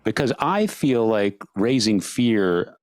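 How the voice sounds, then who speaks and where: A man's voice: fairly low pitch, big pitch swings, slow pace, dry recording. A man hosting a podcast, asking questions in casual conversation from a room at home. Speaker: podcast host. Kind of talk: casual interview chat. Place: room at home with framed albums.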